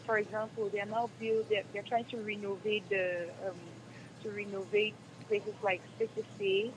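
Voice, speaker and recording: A woman's voice: medium pitch, little pitch movement, normal speed, background noise. A woman with a Cameroonian accent answering as an interview guest, speaking earnestly and repeating phrases for emphasis. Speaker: stage and radio drama actress, interview guest. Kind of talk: radio interview, spontaneous answers.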